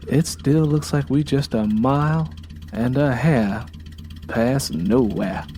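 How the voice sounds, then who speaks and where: A man's voice: medium pitch, slow pace, dark tone, slight background noise, lightly acted. A man voicing a weary, irritable young woman who grumbles and questions in an audio drama. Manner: plain questioning, drowsy and grumbling.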